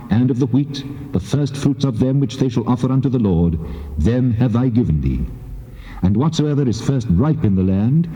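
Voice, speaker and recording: Solemn God, dark and cavernously reverberant. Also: male voice, medium pitch. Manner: solemn, measured, authoritative declaiming. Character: God of Israel, solemn commanding lawgiver. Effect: heavy reverb on the voice. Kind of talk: dramatised scripture reading in King James English. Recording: muffled tape, long cavernous reverb.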